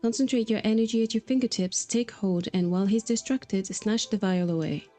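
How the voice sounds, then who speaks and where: Casting: female game streamer, soft mellow tone, musing and self-deprecating, casual chat mixed with read-aloud text, music behind. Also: medium pitch, a little fast. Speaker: game livestreamer.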